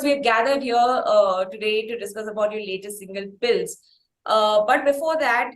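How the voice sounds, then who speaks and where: A woman's voice: fairly high pitch, echoey room, rough recording. A woman with an Indian English accent hosting a conversational interview, talking in long, wordy, circling questions. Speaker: interviewer, podcast host.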